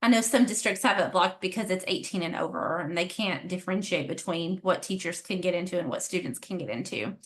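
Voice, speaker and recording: A woman's voice: on the low side, a little fast, hardly any pauses. A woman trainer talking with teachers over a video call, apologetic and eager to help. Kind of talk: casual online meeting chat.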